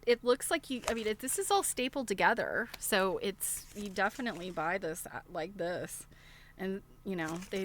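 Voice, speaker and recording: A woman's voice: mid-range voice, sharp tone. A woman hosting a casual unboxing livestream, rambling and thinking aloud as she shows items and puts questions to her viewers. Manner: chatty show-and-tell, asking viewers questions. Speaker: livestream host.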